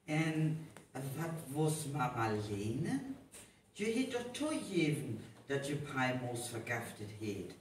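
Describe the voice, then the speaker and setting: Questioning male character, acted by a man, North Frisian radio play, lively swooping pitch, very echoey distant recording. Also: takes it slowly. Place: hall with a live audience. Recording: echoey live hall recording, distant, faint noise.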